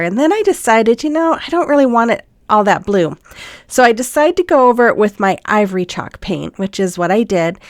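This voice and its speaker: A woman's voice: medium pitch, no echo, clean studio sound. An older woman, a grandmother, narrating her craft project in a chatty, homey voice-over, a little sniffly from a cold. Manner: chatty, homey, many "um"s and small laughs. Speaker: craft vlogger.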